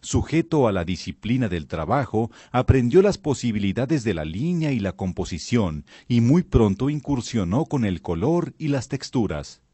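A man reading a scripted documentary narration in a measured, expressive way. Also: mid-range voice, slightly rough, dry recording.

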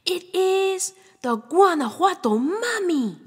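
A woman's voice: very high-pitched, big pitch swings, takes it slowly, big-room sound. A woman storyteller reading a children's picture book aloud in a theatrical way, moving between whispers and exclamations.

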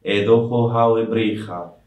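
A man's voice: fairly low pitch, fairly even intonation, takes it slowly, darkish tone. A man, a sexton and Aramaic native speaker, giving a friendly, straightforward Christmas greeting. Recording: echoey room, mic at a distance.

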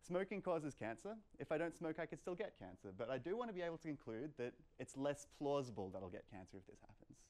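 A man's voice: mid-range voice, a little mellow. Young man giving an informal technical talk. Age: young adult. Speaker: lecturer at a meetup. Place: lecture room, indoors.

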